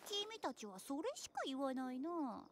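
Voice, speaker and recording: A woman's voice: sits high, sing-song, a little slow, crowd noise. A woman playing a cocky young dragon girl with cool, boyish phrasing in an anime visual novel. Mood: cool, unbothered. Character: cocky young dragon girl, calm and playful, easily unimpressed.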